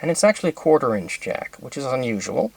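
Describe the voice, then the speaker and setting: Male demo presenter, medium pitch, unscripted and methodical technical explainer with hesitant, halting delivery. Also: big pitch swings, gravelly, no echo. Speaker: presenter demonstrating vintage tape recorders.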